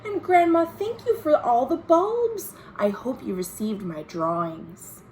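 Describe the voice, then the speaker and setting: A shy but eager young girl read by a woman shares affectionate storybook letters from the 1930s. The voice is fairly high and very slow, lingering on phrases with big rises and falls, over faint noise. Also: sing-song, darkish tone. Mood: fond, eager. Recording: home video with faint background noise.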